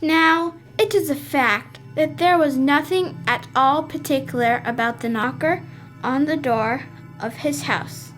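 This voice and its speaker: A child narrating a school radio play in a slow, careful way. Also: female voice, high-pitched. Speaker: narrator and ghost.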